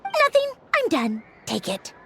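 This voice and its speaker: Prickly young woman, wildly expressive pitch. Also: unhurried, very noisy, heavily acted. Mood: curt, guarded. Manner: curt, haughty. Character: aloof, prickly young woman hiding her feelings. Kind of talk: video game character acting.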